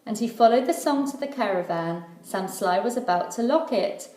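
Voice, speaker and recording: Female primary teacher reading to children: mid-pitched, rhythmic storytelling, recorded in an echoey room. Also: animated, normal speed, dark tone. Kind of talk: children's storybook read-aloud.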